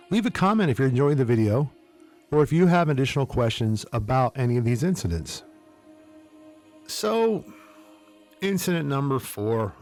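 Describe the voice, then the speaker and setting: Wry male podcast host over music. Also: medium pitch. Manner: wry, tongue-in-cheek. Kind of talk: casual humorous storytelling commentary.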